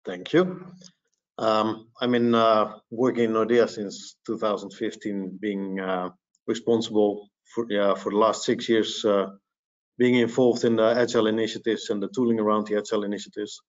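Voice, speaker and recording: A man presenting in an online webinar, speaking in a measured way with fillers, over a headset on a video call. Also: fairly low pitch, flat delivery. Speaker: presenter, bank employee. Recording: dull, lo-fi video call audio.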